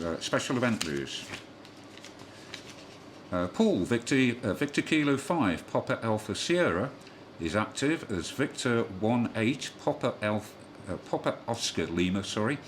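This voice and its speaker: A man reading a scripted amateur radio news bulletin in a careful, formal way, spelling things out phonetically. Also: lowish voice. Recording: noisy shortwave single-sideband radio broadcast.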